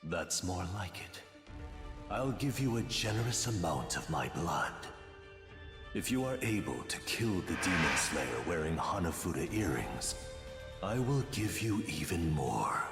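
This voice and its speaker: A man's voice: deep voice, big pitch swings, unhurried, heavily acted. A man playing a cold, commanding demon lord in an anime game, with imperious menace. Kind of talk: theatrical anime game voice acting. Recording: echoey hall reverb, music behind.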